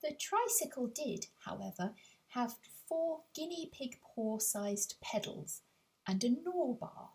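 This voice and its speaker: Woman, higher-pitched voice, animated melody, children's audiobook narration, measured phrasing, deliberate pauses. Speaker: author reading their own audiobook. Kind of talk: scripted children's story narration.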